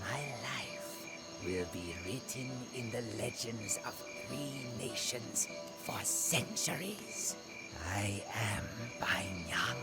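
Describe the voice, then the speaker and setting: A man's voice: mid-range voice, lively intonation, takes it slowly, vocal fry. Grave, proud warrior king over music. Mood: proud, imperious. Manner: grave, theatrical, declamatory. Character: proud, brooding Burmese warrior king, ambitious and imperious. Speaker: narrator and protagonist of game cutscenes. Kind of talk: dramatic scripted first-person narration.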